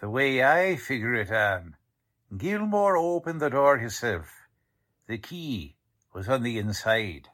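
An actor playing an impatient, sarcastic, tough-talking police detective in a 1940s-style radio drama. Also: male voice, medium pitch, very animated, slow pace, fairly bright tone. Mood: matter-of-fact.

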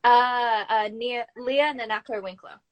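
A woman's voice: on the high side, fairly even intonation, a little slow, crowd noise. A young adult woman with an Australian accent, talking in an informal, anecdotal way as the guest in a casual interview. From Brisbane, Australia. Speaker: guest, actor and acting student.

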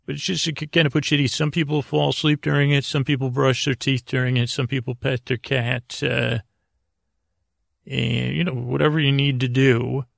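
Man, middle-aged, extremely creaky gravelly voice, medium pitch, halting bedtime rambling, self-interrupting, podcast host. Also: level tone, fairly bright tone, no echo, clean studio sound.

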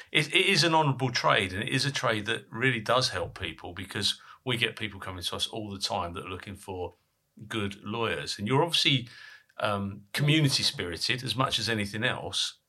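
A man's voice: on the low side, pushed and bright. A man hosting a podcast interview, chatty and self-deprecating. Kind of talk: casual interview chat.

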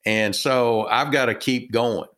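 Man, Texan accent, middle-aged, unhurried drawl, halting speech with fillers, interview guest. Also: medium pitch, fairly even intonation, a bit bright. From Texas. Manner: slow, halting, many fillers and restarts. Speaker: guest, retired business owner and author. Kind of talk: casual interview talk.

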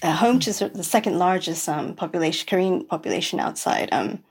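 A woman's voice: lowish voice, animated, a little fast, gravelly, breathy edge. A woman from New York City, a podcast guest, talking in a rambling casual way with many fillers and restarts. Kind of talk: casual interview chat.

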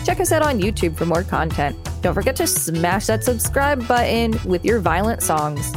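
A woman's voice: medium pitch, sing-song, moderate pace, music in the background. A woman co-hosting a podcast, reading articles aloud with casual remarks. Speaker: podcast co-host.

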